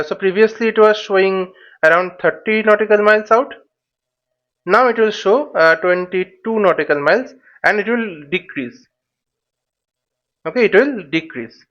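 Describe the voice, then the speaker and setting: A man giving an informal step-by-step tutorial as an instructor, speaking haltingly and repeating his words and phrases. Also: sits high, fairly bright tone. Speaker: flight simulation instructor. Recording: dull, lo-fi microphone sound.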